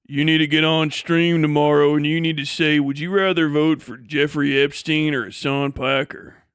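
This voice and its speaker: A man voicing a menacing masked thug who gives threatening, deliberate orders in a comic livestream bit. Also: fairly high pitch, steady tone, a bit bright, clean tone, no room sound, studio quality, voice pushed down, lightly acted.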